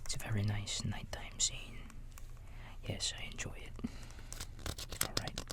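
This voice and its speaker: A man playing a kindly raccoon babysitter in a bedtime ASMR roleplay, whispering softly and gently reassuring a small child in a folksy, halting way. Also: close to an everyday voice. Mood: soothing, playful. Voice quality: soft, breathy whisper. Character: kindly big-brotherly male raccoon babysitter.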